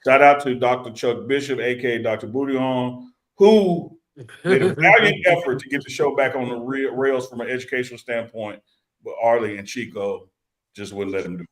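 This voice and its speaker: A man's voice: mid-range voice, normal speed, low-quality recording. A man co-hosting a casual sports talk show, teasing the others in joking banter. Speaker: co-host.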